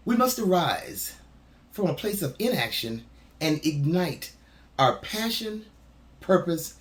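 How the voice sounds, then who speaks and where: A man hosting an event, making announcements and thanking participants. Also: a little high. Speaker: event host.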